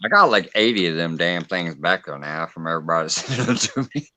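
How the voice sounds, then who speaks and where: A man with a lowish voice that swings up and down in lively, joking talk, hosting a casual stream. The sound is dry and close, with no room around it. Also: brisk, no room sound. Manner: lively, joking, reads out viewer comments. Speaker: live stream host. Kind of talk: casual chat.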